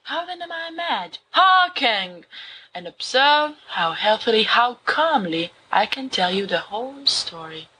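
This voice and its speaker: A woman voicing a young male wizard student who reads a horror story aloud to his friends, slow and hushed at times. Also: a little high, sing-song, background noise. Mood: dramatic. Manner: slow, hushed dramatic reading. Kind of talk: audiobook fan fiction reading.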